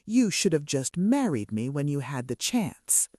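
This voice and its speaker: A woman's voice: low voice, very animated, measured pace, warm tone, no room sound, clean studio sound, full character voice. A woman voicing a clinical, sardonic male kidnapper who speaks with casual menace in a romance audiobook. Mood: sneering. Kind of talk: romance audiobook character dialogue.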